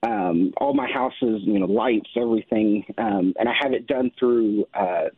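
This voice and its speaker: A man calling a radio show by phone, casually explaining a problem in a halting way. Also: fairly low pitch, slightly rough, no room sound. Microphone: telephone. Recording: narrow muffled phone line.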